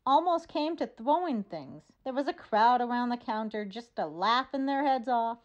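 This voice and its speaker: A folksy, talkative mother of a Navy boy acted by a woman in an old-time radio play. Her high voice swings up and down excitably as she brags. The sound is slightly dull. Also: moderate pace, close to an everyday voice. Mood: indignant, gossipy. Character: chatty proud mother of a Navy sailor, folksy.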